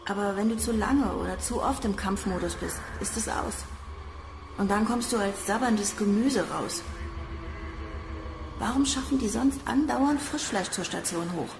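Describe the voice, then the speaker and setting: An actress playing a cynical young space recruit in a radio drama, blunt, slangy and gossipy. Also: female voice, medium pitch, unhurried, vocal fry, over music. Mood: cynical gossip.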